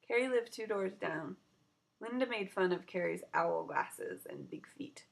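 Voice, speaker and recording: A woman narrating a children's story aloud in an expressive storytelling style. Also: mid-range voice, a little slow, creaky.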